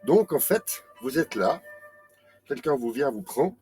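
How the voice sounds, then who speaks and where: A man's voice: medium pitch, animated, slow, dark tone, faint music behind. A man in his late fifties with a French accent, teaching tai chi in a chatty, self-mocking live class. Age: 50s. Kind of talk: live-streamed class explanation.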